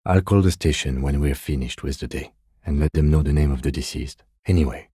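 An actor playing a composed, dry police detective in a video game, speaking in a measured, restrained, matter-of-fact way with a soft husky edge. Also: male voice, rumbling low, dry recording. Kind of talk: video game dialogue acting.

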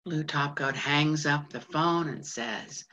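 An actress playing a lonely, lovesick woman who mops floors at a train station, talking bluntly and haltingly with plenty of swearing. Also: deep voice, a bit bright, heavily acted. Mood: yearning. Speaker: actor in a monologue. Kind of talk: stage monologue.

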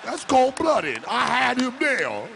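A man voicing a wacky, streetwise old Jedi mentor in a slangy, drawn-out way at a live comic table read. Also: very high voice, wildly swooping pitch, vocal fry, noisy background, full character voice. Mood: indignant. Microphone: table microphone. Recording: live panel microphone in large room.

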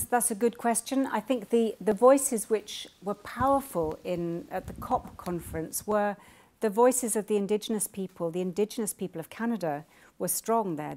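An older woman speaks in a measured, earnest voice at a middle pitch. She is giving a lecture from a lectern mic in a wood-panelled college room and pauses to think as she answers. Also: a little mellow. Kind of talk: formal lecture then answering questions. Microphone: lectern microphone.

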